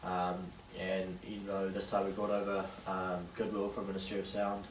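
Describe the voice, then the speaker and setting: A young man in his late teens with a New Zealand accent, answering interview questions haltingly with many fillers. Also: deep voice, completely flat delivery, slight background noise. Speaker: interviewee, young DJ and event organiser. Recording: distant roomy camera sound with faint ambience.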